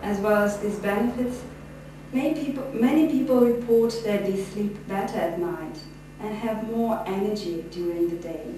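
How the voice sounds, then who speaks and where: A woman's voice: mid-range voice, normal speed. Non-native woman guiding relaxation, echoey room. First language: not English. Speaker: yoga teacher trainee. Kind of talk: guided relaxation instruction. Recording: very echoey room, distant mic, background noise.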